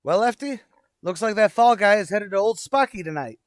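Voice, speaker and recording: A man's voice: high voice, lively intonation, moderate pace, dry recording, clean studio sound. A man playing a cocky, slangy gangster bank robber in an old-time radio drama. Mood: smug. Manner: brash, slangy, theatrical.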